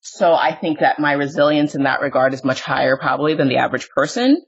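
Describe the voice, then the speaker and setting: Husky low-voiced woman hosting a podcast. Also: quick pace. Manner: candid and self-deprecating, often laughing. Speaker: podcast host. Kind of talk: conversational interview. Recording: dull lo-fi audio.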